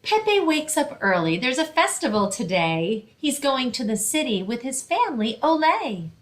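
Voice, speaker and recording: A woman's voice: sits high, sing-song, normal speed. A woman reading a picture book aloud and explaining things simply for children, as a librarian hosting story time.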